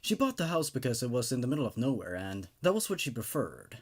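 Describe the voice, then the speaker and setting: A man hosting and narrating horror stories in a theatrical, expressive way, with casual asides. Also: medium pitch, fast. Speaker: host and narrator of a horror story reading show.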